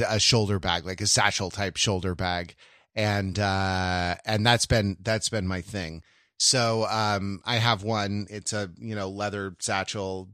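A man in casual podcast conversation, co-hosting with a halting, digressive manner and frequent self-correction. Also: fairly low pitch, pushed and bright, dry recording, clean studio sound. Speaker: podcast co-host.

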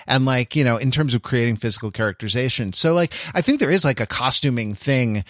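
A man co-hosting a podcast, talking casually and analytically in a halting, rambling, intellectual way with wry amusement. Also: medium pitch, a bit bright, no echo, studio quality. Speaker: podcast co-host.

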